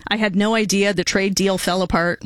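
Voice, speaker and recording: A chatty woman hosts a radio show, upbeat and quick to laugh. Her voice sits at a middle pitch and sounds close and dry, with no room around it. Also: steady tone, dry recording. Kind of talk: casual on-air chat.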